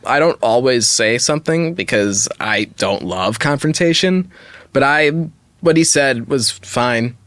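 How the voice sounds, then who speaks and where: A man with a mid-pitched, highly animated voice talks as a podcast co-host, recorded close and dry. He reasons casually through each side of an argument and slips into imagined quotes to make his point. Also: no echo. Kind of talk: casual podcast discussion, opinionated commentary.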